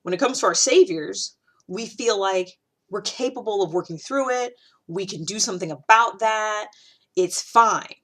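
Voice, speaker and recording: A woman's voice: mid-range voice, normal speed, fairly bright tone. A woman hosting a casual talk, chatty and thinking aloud with many fillers, restarts and light laughs. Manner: laughs often between thoughts. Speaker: host.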